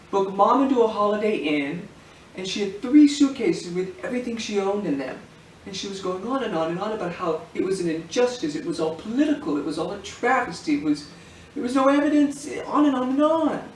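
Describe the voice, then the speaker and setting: Man acting angry adult son, high voice, accusing, repetitive, dramatic monologue, distant mic. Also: expressive, a little fast. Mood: indignant, accusing. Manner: accusing, emphatic, piling up repetitions. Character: angry adult son confronting his disgraced father, bitter, wounded. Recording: echoey room, distant mic, faint hiss.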